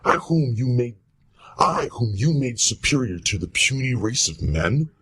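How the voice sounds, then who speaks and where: A man playing a menacing, vengeful monster in a horror radio play, speaking huskily with slow, ominous deliberation. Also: mid-range voice, very animated, a bit bright. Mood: gloating. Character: menacing male monster, vengeful creation tormenting its maker. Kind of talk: amateur radio-play style horror acting.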